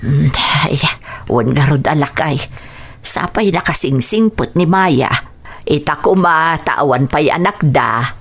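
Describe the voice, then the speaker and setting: An actress with an Ilocano accent playing a woman of a family in an expressive, emotive radio drama style. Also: sits low, unhurried, vocal fry, exaggerated voice. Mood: fretful, disapproving. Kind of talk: Filipino radio drama acting.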